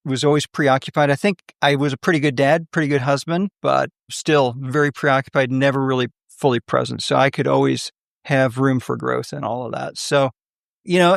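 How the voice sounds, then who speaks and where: A man's voice: mid-range voice, vocal fry, no room sound, studio quality. A man, a podcast guest and leadership coach, talking earnestly about his background in a casual interview, often repeating words as he starts a thought. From United States. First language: English was his second language. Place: office.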